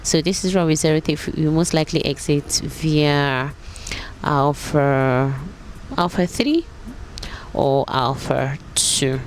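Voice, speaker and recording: A woman with a low-pitched voice talks through a tutorial in a casual, explanatory way. She presents like a pilot vlogger, with faint noise behind her. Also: takes it slowly.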